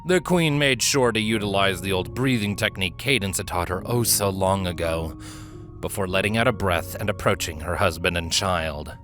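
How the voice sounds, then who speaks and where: A man reading fiction aloud as a dramatic story narrator, with theatrical, deliberate emphasis. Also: deep voice, a bit bright. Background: background music.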